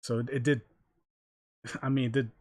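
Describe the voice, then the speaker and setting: A man hosting a casual group discussion, reading out details in a measured way. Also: mid-range voice, level tone, a little mellow, no room sound. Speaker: podcast host.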